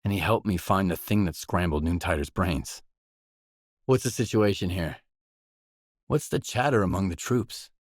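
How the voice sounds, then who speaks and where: A man's voice: sits low, moderate pace, pauses often, no echo, clean studio sound. A man voicing a wary, blunt male loner in a video game, speaking in terse, matter-of-fact lines and questions. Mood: guarded, businesslike.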